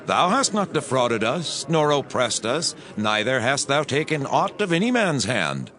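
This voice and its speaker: A man's voice: mid-range voice, big pitch swings, unhurried, a bit bright. An actor playing an earnest spokesman of the people, formal and declamatory, in a scripture drama. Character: earnest spokesman of the people vouching for their leader.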